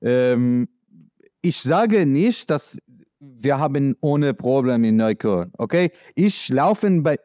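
A man from the United States with an American accent, speaking in a halting, searching way with many fillers, answering as an interviewee. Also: mid-range voice, expressive, mellow, no room sound, clean studio sound. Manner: halting non-native speech with many fillers. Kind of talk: conversational interview.

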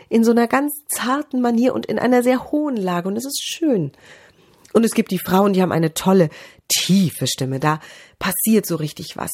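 A middle-aged woman, a vocal coach, giving advice on a podcast and swooping high and low in pitch to show how voices sound. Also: darkish tone.

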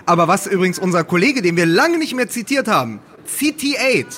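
A man's voice: high-pitched, very animated, fairly quick, fairly bright tone, slight background noise. A man co-hosting a football podcast, talking casually and emphatically, often repeating phrases for stress.

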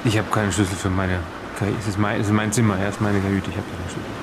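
A man playing a calm, practical ship's captain in an improvised audio drama, halting and repeating himself as he reassures people. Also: lowish voice, very noisy, natural voice.

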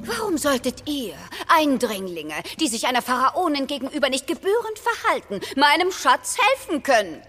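A woman's voice: high-pitched, sing-song, moderate pace, few pauses, fairly bright tone. A woman playing a haughty, commanding Egyptian pharaoh in a children's audio drama. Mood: haughty, suspicious. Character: imperious Egyptian female pharaoh doting on her pet.

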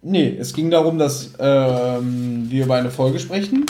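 A man's voice: mid-range voice, measured pace, dark tone, reverberant, a little hiss or hum. A man chatting casually as a podcast host, giving blunt, opinionated reviews in colloquial language.

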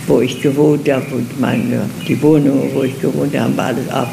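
An older woman from Germany recalling her life in an interview, halting and often repeating herself. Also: a bass voice, flat delivery, gravelly. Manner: halting, repeats words and restarts. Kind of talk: recorded interview, personal recollection. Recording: noisy archival interview recording.

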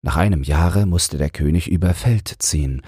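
A man reads a bedtime fairy tale in a calm, soothing manner. His voice is deep and strongly breathy, the pace slow and restful, and the sound dry. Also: no echo. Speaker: audiobook narrator. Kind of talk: scripted fairy-tale narration for falling asleep.

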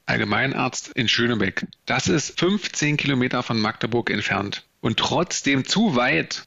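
Gravelly, raspy male doctor in an ad. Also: mid-range voice, bright, forceful tone, no room sound. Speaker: general practitioner in an ad. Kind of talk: read advertisement. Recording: dull lo-fi ad recording.

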